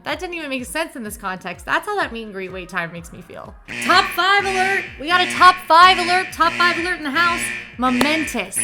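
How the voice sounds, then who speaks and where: A woman's voice: on the high side, pushed and bright. A young woman reviewing theme park rides in an enthusiastic, chatty way with playful asides. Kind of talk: upbeat ride review. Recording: music bed under voice.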